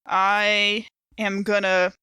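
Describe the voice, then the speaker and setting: A woman with a bright, forward voice, recorded very dry. She chats casually as a game player, playful and expressive, happy to tease. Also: medium pitch, extremely slow, smooth, no room sound. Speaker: player on an actual play podcast. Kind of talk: casual chat.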